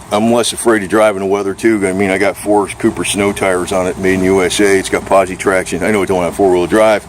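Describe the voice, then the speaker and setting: A man's voice: fairly low pitch, slight background noise. A man talking in an informal, rambling, mildly profane way, like a casual show-and-tell vlog about gear. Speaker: vlogger reviewing radio gear.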